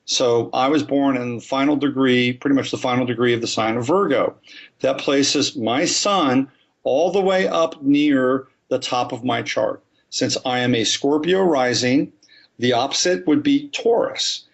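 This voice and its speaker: A man's voice: medium pitch, a little slow, vocal fry, highs cut off. A man, an astrologer guest on a podcast, explaining his subject methodically and step by step. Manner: methodical, talks through examples step by step.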